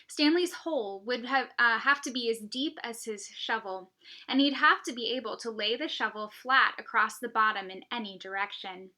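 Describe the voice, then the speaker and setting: A woman teacher reading a children's novel aloud, with expressive, emphatic phrasing and dramatic pauses. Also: fairly high pitch.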